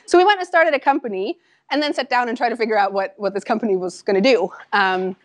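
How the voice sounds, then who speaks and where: A woman in her thirties from Norway with a Norwegian accent, giving a conversational talk to an audience about her startup, animated and full of ums and asides. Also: on the high side, fast. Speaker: startup founder giving a lecture. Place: lecture room with an audience.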